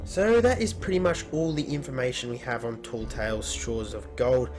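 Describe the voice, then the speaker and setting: A man's voice: medium pitch, normal speed, a little mellow, soft background music. A man hosting a gaming news video, narrating in an informal scripted style.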